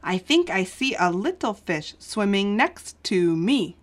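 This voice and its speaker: A woman's voice: medium pitch, sing-song, unhurried. A woman reading English listening-test dialogue lines with careful, well-articulated delivery. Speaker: dialogue reader.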